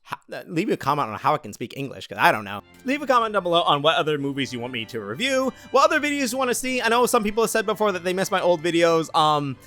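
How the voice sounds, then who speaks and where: Animated, higher-pitched man giving a casual review. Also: fast talker, few pauses, soft background music. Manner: animated, exaggerated emphasis, playful slangy asides. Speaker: YouTube movie reviewer. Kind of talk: casual video review to viewers.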